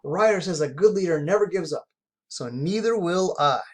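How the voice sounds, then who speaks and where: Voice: medium pitch, expressive, normal speed, close to an everyday voice. A storybook reader voicing an earnest, commanding young male pup who leads a team of heroes. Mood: determined. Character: heroic young male police pup leader, determined. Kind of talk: children's storybook read-aloud.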